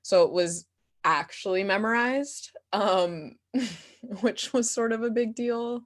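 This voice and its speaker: A young woman giving casual interview answers as a guest playwright and performer, speaking in a candid, self-deprecating, animated and giggly way. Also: mid-range voice, slow pace. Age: young adult.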